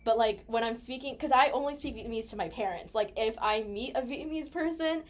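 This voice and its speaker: Chatty young American woman, higher voice. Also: fairly quick. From United States. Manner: chatty, animated storytelling with heavy use of "like". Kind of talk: casual personal conversation.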